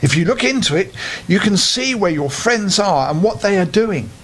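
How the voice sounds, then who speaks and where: A man voicing a kindly, helpful witch in a children's storybook, with a gentle, reassuring manner. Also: high-pitched, expressive, moderate pace. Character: kindly helpful female witch with magic island.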